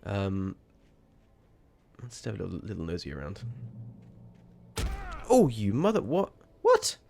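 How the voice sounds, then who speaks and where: A man's voice: lowish voice, wildly swooping pitch, unhurried, vocal fry, a little mellow, slight background noise. A man chatting casually while streaming a game, rambling and sweary, with sudden startled outbursts. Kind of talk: casual livestream chat.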